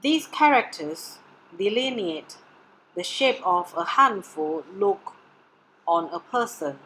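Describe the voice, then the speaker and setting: A woman whose first language is Mandarin, reading her art research presentation in a measured, deliberate way. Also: mid-range voice, lively intonation, a bit bright, slight background noise, rough recording. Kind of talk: read-aloud research presentation.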